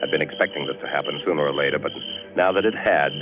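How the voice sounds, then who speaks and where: An actor playing an anxious, wry husband in trouble with the police, in a 1940s radio thriller. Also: male voice, extremely low pitch, quick pace. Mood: tense, brooding. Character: anxious, wry ordinary husband suspected by police, narrating his story. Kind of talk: 1940s radio thriller acting, first-person noir narration. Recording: dull vintage radio recording with music.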